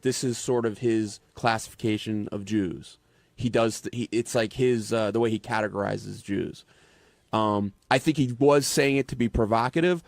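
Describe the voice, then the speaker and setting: A man's voice: medium pitch, no room sound. A man on a radio panel talking casually, stammering and correcting himself as he goes. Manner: halting, stammering, self-correcting. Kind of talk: casual talk.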